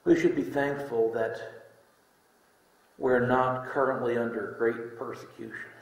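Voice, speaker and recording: A middle-aged man preaching a sermon in deliberate short phrases with pauses, reading scripture from a lectern. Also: medium pitch, fairly even intonation. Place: indoor room, speaking from a lectern. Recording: echoey room.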